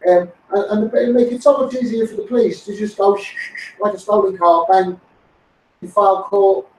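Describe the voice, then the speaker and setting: High-pitched Scouse man, echoey and distant. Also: steady tone, normal speed. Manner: insistent, repetitive, directly addressing politicians. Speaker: campaigning video host. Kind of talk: impassioned campaign monologue to live viewers.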